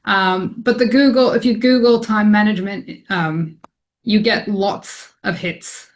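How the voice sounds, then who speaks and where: A woman's voice: mid-range voice, fairly even intonation, unhurried. Canadian woman, forties, statistician presenter; chatty, self-deprecating, lots of ums. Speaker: academic statistician presenting a talk. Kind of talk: informal presentation to colleagues.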